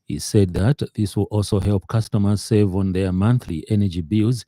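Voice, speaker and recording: A man with a Zambian accent reading a scripted radio news bulletin in a measured, formal, slightly hesitant way. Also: lowish voice, steady tone, dark tone, no room sound, clean studio sound. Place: radio studio.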